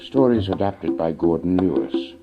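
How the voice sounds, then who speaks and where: A man's voice: low-pitched, expressive, moderate pace. A man announcing audiobook titles and credits from a script. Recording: narrow phone-like sound under loud music. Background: loud percussion music.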